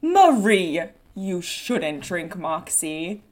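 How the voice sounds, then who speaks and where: A woman's voice: on the low side, very animated, very slow, a little mellow, voice pushed down, heavily acted. A woman reading the part of a kindly, admiring young woman in a stage play. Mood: friendly. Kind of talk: script read-through of a play.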